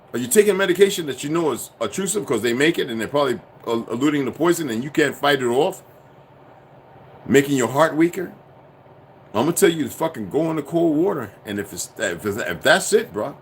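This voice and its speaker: A man's voice: a little high, a little hiss or hum. A man delivering a profane, preachy, insistent monologue to his viewers. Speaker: commentator.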